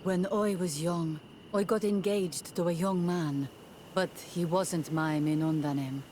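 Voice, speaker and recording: A woman playing an earnest, wary older mother from an isolated village in a video game, speaking gravely. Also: lowish voice, fairly even intonation, measured pace, warm tone, a little hiss or hum, natural voice. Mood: wistful. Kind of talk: video game dialogue acting.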